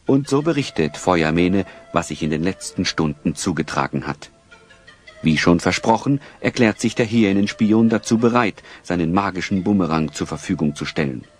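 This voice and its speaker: A man narrating a children's adventure radio play as a composed storyteller, measured and explanatory. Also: low-pitched, lively intonation, soft background music.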